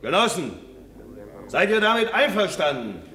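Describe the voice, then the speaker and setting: An actor playing a strike speaker in a radio play, declaiming to comrades with hesitant stumbles. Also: male voice, high-pitched, very animated, moderate pace, pushed and bright, full character voice. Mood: earnest, faltering. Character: halting strike speaker reading demands to comrades. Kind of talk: German radio play acting. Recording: echoey meeting hall.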